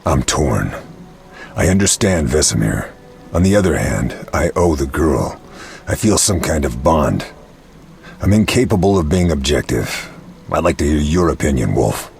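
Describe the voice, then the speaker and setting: Gravelly, very deep monster hunter. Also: male voice, very animated, measured pace, a little mellow, faint music behind, full character voice. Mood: conflicted, earnest. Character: torn, honour-bound male monster hunter, gentle and earnest. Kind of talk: video game voice acting.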